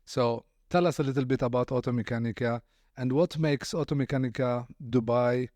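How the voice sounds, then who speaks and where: A man's voice: medium pitch, steady tone, brisk, darkish tone, dry recording. A man hosting a podcast interview, courteous, often repeating words as he searches for phrasing. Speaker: podcast host.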